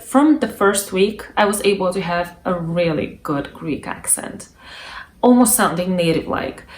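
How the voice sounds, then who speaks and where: A woman with a non-native English accent giving informal, encouraging language tips as a coach, emphatically repeating her points. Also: medium pitch. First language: not English. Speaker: language coach and online tutor. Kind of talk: informal instructional monologue to camera. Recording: roomy echo, faint background noise.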